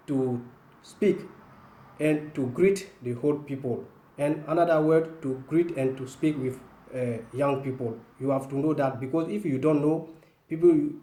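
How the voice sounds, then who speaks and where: An Ivorian-accented man, native in Woloduka, hosts a language lesson in a soft, dark-toned voice. He explains deliberately and repeats his key points. The room around him adds clear echo. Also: mid-range voice. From northwestern Ivory Coast. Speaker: language teacher, video host. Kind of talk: informal language lesson to camera. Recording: roomy, echoing room sound.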